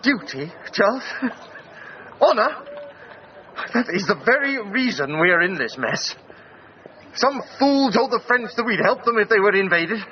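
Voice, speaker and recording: An actor playing a proud, bitter Edwardian gentleman adventurer with an English accent, speaking in halting, well-spoken exclamations in a radio drama. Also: high voice, very animated, dull audio, a little hiss or hum. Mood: indignant.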